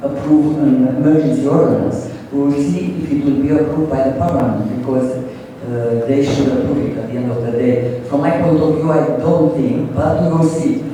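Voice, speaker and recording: A man's voice: mid-range voice, fairly even intonation, normal speed, few pauses, mellow. A man with a Romanian accent, speaking in non-native English in a panel discussion. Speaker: real estate panel speaker. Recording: very echoey hall, distant, faint noise.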